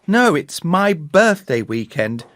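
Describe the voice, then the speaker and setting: A man voicing an excitable, stubborn twelve-year-old storybook boy with emphatic, insistent delivery. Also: a little high, slow pace, no echo, clean studio sound, voice pushed up, full character voice.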